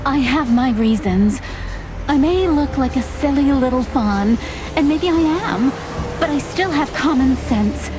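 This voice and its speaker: A timid young fawn secretary, with a high voice and a polite, guarded manner. She stays dutiful and composed in a film-noir game style, over clearly audible music. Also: expressive, a little slow. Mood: defensive, polite. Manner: polite, reserved, hesitant yet composed.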